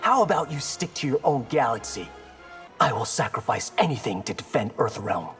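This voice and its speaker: A man's voice: mid-range voice, very animated, normal speed, fairly bright tone, soft background music. A man playing a defiant young martial artist hero in a video game, dry and sarcastic.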